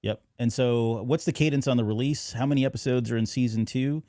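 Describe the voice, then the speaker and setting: A man's voice: medium pitch, fairly quick, warm tone, no echo. Agreeable male podcast host, dry sound. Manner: agreeable, frequent affirming interjections. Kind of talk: casual interview chat.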